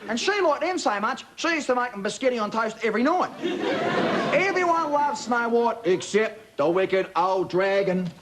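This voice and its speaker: A man's voice: very high voice, animated, moderate pace. A man playing a cheeky Australian bogan bloke with a broad accent, telling a comic bedtime story in a laid-back, slangy, matey way. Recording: TV studio with loud audience laughter, noisy.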